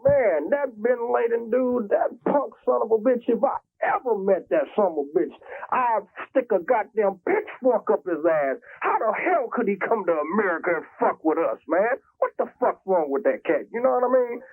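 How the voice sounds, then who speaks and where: A man's voice: high-pitched, expressive, moderate pace. A man from Jamaica who calls in to a public access show and talks in a profane, boastful, rambling way. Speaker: caller. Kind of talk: crude phone-in rant. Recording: tinny phone line.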